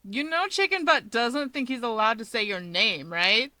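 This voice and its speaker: A woman's voice: a little high, moderate pace, sharp tone, dry recording, voice pushed up. A woman voicing a brash, cocky young superheroine in a story, mocking and needling as she talks.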